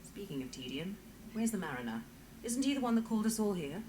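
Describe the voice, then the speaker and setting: Female magistrate for a fantasy game, actress-played: exasperated, dry, animated delivery in a roomy space. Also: medium pitch, fast, a little hiss or hum, close to an everyday voice. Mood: dryly weary. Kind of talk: fantasy video game dialogue.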